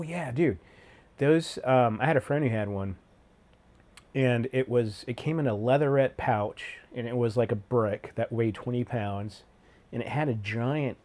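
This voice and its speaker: A stuffed-up man with a cold, a little sniffly, chatting loosely on his live stream. He greets viewers one by one, tossing in dude and man, in a voice of ordinary middle pitch. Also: a little mellow. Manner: laid-back, slangy, says dude and man a lot.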